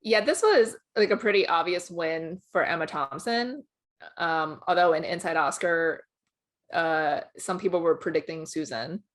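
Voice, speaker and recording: A woman's voice: fairly low pitch, unhurried. A woman co-hosting a casual entertainment discussion, talking informally with lots of conversational "like". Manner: informal, peppers speech with "like". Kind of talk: casual film awards chat.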